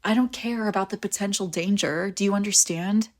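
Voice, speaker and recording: A woman's voice: mid-range voice, fairly quick, lightly acted. A woman playing a clingy, devoted young female friend in a zombie apocalypse audio roleplay, with an emotional, intimate delivery. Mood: desperate pleading. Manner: emotional, pleading, swinging between insistent and hushed. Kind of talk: scripted audio roleplay acting, intimate and conversational.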